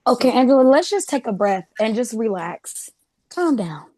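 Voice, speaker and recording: A woman playing a calm, conciliatory mediator in a dramatic read, soothing and reasoning. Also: a little high, normal speed, no room sound.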